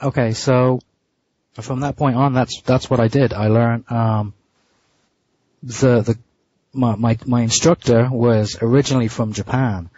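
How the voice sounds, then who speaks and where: A man being interviewed, telling a story in a casual, halting way with frequent restarts and repeated words. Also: medium pitch, fairly even intonation, a little mellow, dry recording. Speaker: interview guest. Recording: muffled dry lo-fi line.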